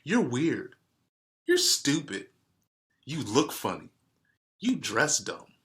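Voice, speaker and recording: A man's voice: mid-range voice, wildly swooping pitch, takes it slowly, fairly bright tone, voice pushed up, exaggerated voice. A man voicing squabbling schoolchildren who hurl taunting, exclamatory insults in a storybook. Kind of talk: storybook character voices.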